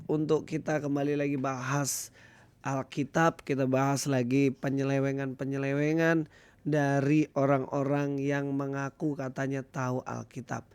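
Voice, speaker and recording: A hoarse man with an Indonesian accent, giving casual commentary to his viewers as a video host. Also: medium pitch, darkish tone, dry recording. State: hoarse, recovering from illness. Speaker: YouTube host.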